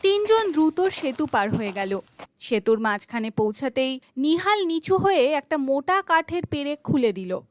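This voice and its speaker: High, animated woman storyteller. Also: moderate pace, slight background noise. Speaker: narrator. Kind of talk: scripted narration of a children's folk tale.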